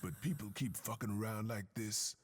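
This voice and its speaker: An actor playing a cool, sardonic American bartender and weapon maker, slangy and knowing, with a very deep voice in a video game. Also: male voice, moderate pace, slightly rough. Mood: ominous. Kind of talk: video game cutscene acting.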